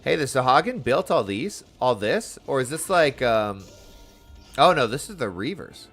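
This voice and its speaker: A man's voice: medium pitch, very animated, moderate pace, a bit bright, faint music behind. A man doing casual livestream game commentary, chatty and playful with sing-song asides. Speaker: game streamer.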